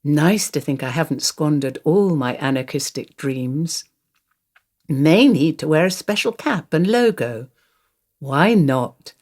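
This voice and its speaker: Older lady acting a wry wistful granny telling her story in a radio play, low voice. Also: animated, slow pace, a little mellow, heavily acted. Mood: wry, resolute. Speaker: actor reading a monologue. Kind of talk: radio play monologue, first-person storytelling.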